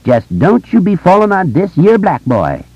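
A man's voice: sits high, huge pitch swings, moderate pace, a little mellow, slightly rough, dry recording, lo-fi sound, pitched above the performer's own voice, full character voice. A man voicing a joking boy who puts on an exaggerated, frantic servant caricature with a theatrical wailing drawl. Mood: mock-frantic clowning.

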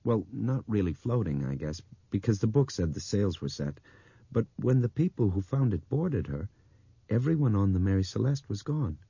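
A man's voice: fairly low pitch, big pitch swings, moderate pace, dark tone, husky, no echo, dull audio, voice pushed up, full character voice. Man playing an earnest, wondering young man. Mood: slow wonder. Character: earnest, thoughtful young man piecing together a mystery.

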